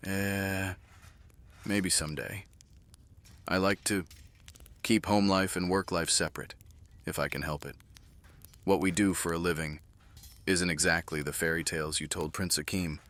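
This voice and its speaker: Man acting a deep-voiced, world-weary soldier commander. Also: a bit bright, dry recording. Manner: measured, earnest, dryly wry. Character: world-weary mortal military commander, devoted widowed father. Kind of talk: fantasy audio drama acting.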